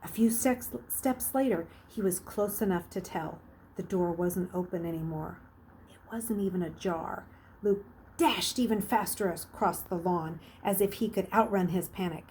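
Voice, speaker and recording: A woman reading a children's novel aloud like a teacher, with expressive, dramatic emphasis. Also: fairly low pitch, normal speed, a little hiss or hum, low-quality recording. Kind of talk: expressive storybook narration.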